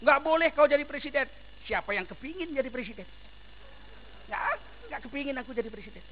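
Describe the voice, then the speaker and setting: A man's voice: extremely high pitch, lively intonation, brisk, dull audio, a little hiss or hum. A man preaching a sermon in a large mosque, emphatic and firing rhetorical questions at the congregation.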